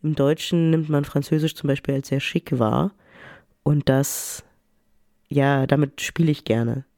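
A man from Germany speaks casually in an interview. His voice is soft-edged and a little high, recorded close and dry, with music playing behind. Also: fairly even intonation, a little slow, gravelly, dry recording. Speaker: interviewee, writer.

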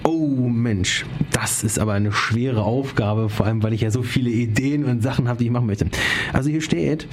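A man's voice: mid-range voice, normal speed, a little mellow, a little hiss or hum. A man in his twenties, a writer and poet, chatting as a guest in an interview, eager and rambling as he searches for words. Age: 20s. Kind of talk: casual interview chat.

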